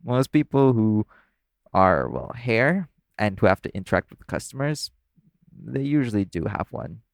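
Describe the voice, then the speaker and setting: A man acts out an easygoing, flirtatious nightclub coworker in an audio roleplay. His voice sits low with plenty of fry. He talks casually and teases as he reassures. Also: big pitch swings, measured pace, no room sound, heavily acted. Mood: casual, reassuring. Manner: casual, teasing, conversational. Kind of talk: audio roleplay acting addressed to the listener.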